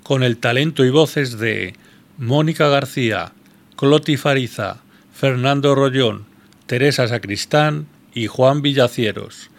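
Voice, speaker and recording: A man's voice: medium pitch, unhurried. A man announcing an audio drama in a scripted style, as for introductions and credits.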